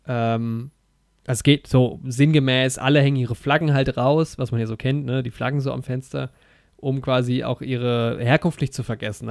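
A man talks about a film in a loose, eager ramble, piling up fillers and restarting his sentences. His voice has a gravelly edge, and the recording is dry and close. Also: medium pitch, a little mellow, no room sound. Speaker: podcast co-host. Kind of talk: casual film discussion.

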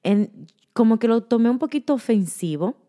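A woman's voice: fairly high pitch, animated, moderate pace, warm tone. A woman in her thirties speaking openly in casual conversation, laughing often and dropping English words into her speech.

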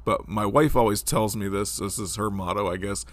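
A man's voice: fairly low pitch, normal speed, a bit bright. A man in his forties hosting a casual solo podcast, talking in a rambling, conversational way with lots of fillers, his voice stuffed up from a cold. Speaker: podcast host.

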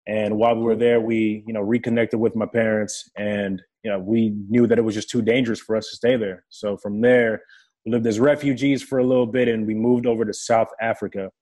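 A man's voice: on the low side, flat delivery, fairly quick, a little mellow. A young man from the Democratic Republic of Congo, a native French speaker, telling his life story earnestly and vividly as a guest in a casual interview. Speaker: guest, player engagement staff for a football team.

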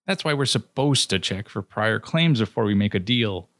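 A man's voice: lowish voice, animated, moderate pace, a bit bright, dry recording, clean studio sound, voice pushed up. A man voicing a peevish, foul-mouthed male trickster god who snaps at everyone. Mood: irritated. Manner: exasperated, snapping.

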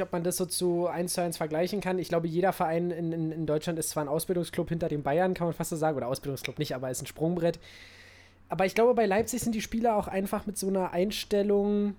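Man, higher voice, casual football chat, hesitant, restarts. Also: fairly even intonation, brisk, warm tone.

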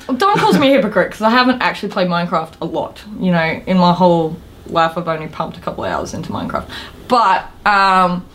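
A woman co-hosting a podcast, chatting casually about video games in an informal, hesitant way with trailing thoughts. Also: fairly low pitch. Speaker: podcast co-host.

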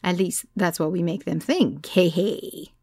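Woman voicing teasing Greek knitter, brash, gravelly, lower voice, dry close sound. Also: a little slow, a little mellow, no room sound. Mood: playful.

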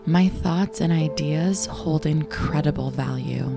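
A young woman with a low, raspy voice guides listeners through calm affirmations, speaking slowly and soothingly. Gentle music plays clearly underneath her throughout. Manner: slow, soothing affirmation reading. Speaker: affirmation and meditation host. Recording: clear music bed behind voice.

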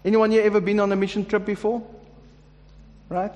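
A man with a high voice delivers a sermon to his congregation. He speaks casually and jokes, often restarting his sentences. His voice rings with the long reverb of a large hall. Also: level tone, brisk, darkish tone. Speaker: pastor. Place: church hall.

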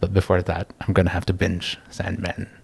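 A young man from the Philippines, a guest talking in a relaxed, reflective way, pausing often and laughing easily. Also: low voice, gravelly, breathy edge. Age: young adult. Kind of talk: casual interview chat.

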